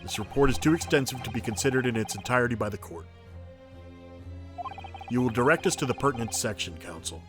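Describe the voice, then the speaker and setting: A stern male judge, acted by a man in a courtroom game dub. He speaks formally and with authority, as one presiding over a trial. Music is clearly audible behind him. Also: medium pitch, animated, fast, long pauses, lightly acted. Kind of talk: dramatic video game dub. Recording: music under the voice.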